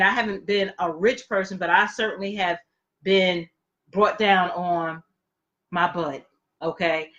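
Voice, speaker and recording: A woman's voice: lowish voice, fairly bright tone. A woman co-hosting a casual livestream chat show, giving earnest, preachy motivational advice. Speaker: podcast co-host. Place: indoors, livestream. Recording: dull lo-fi livestream audio.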